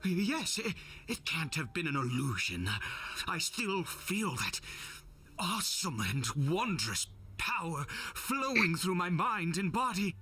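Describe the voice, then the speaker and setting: An actor playing an unstable, doting father turned religious zealot in a video game, speaking in a theatrical, halting, reverent manner. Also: high-pitched, very animated, bright, forceful tone, soft background music, heavily acted. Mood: shaken, fervent. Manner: theatrical, halting, reverent, prone to nervous laughs and sighs. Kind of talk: dramatic video game voice acting.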